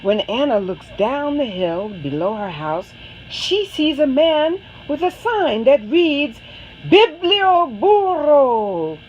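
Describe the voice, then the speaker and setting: A woman narrates a picture book for children, taking her time over each phrase. Her pitch moves in lively, dramatic swings, and there is faint noise behind her. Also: takes it slowly, dull audio. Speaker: storybook reader. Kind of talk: expressive children's story read-aloud.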